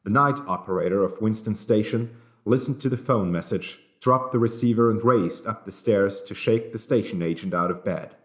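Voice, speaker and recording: A man reading a dramatic novel aloud as scripted audiobook narration. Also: lowish voice. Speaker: audiobook reader.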